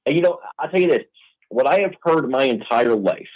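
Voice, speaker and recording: A man's voice: mid-range voice, animated, brisk, darkish tone, rough recording. A man in his forties, a blunt, streetwise guest arguing in a heated political debate. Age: 40s.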